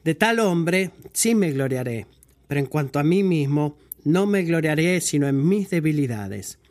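A man reading scripture aloud and then preaching a sermon in a measured, pausing way, stopping now and then to correct his own slips. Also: a little high, expressive, a little mellow.

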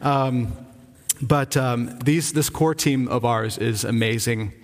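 A man from Rhode Island, a pastor, giving an earnest, informal update from the pulpit, often restarting his sentences. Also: mid-range voice. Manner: earnest, enthusiastic, halting with frequent restarts. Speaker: visiting church-planting pastor. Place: church sanctuary. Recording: long reverb of a church hall.

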